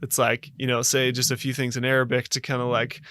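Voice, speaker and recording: An American man telling a reflective story as a podcast guest, earnest and halting, with frequent fillers and restarts. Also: mid-range voice, completely flat delivery, pushed and bright, dry recording, clean studio sound. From United States.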